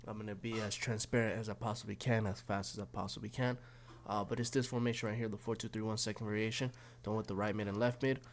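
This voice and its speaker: A man with a slightly raspy, snuffly voice from being sick, casually walking through football game tactics with lots of fillers and restarts. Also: lowish voice, steady tone, quick pace. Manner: rambling, many fillers and restarts. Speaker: gaming YouTuber.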